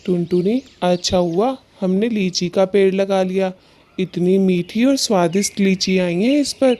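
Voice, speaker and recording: Woman voicing a mellow, earnest male cartoon bird. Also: medium pitch, measured pace, close to an everyday voice. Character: uneasy, earnest, protective male bird, a husband. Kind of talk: children's cartoon story acting.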